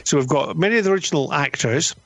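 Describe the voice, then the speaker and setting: A man's voice: fairly high pitch, lively intonation, quick pace, fairly bright tone, dry recording. A man in his fifties giving an enthusiastic, emphatic and conversational review as a radio critic. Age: 50s.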